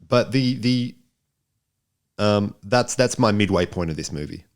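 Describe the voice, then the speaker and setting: A man with a low voice co-hosts a film podcast. He talks in casual banter, rambling and jokey, often repeating a phrase to push his point. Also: unhurried. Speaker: podcast co-host.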